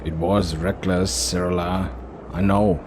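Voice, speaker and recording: A man playing a wry, principled male relic hunter in a dark fantasy audio drama. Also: deep voice, level tone, slow pace, background noise. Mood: earnest conviction. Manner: muttering, absent, earnest.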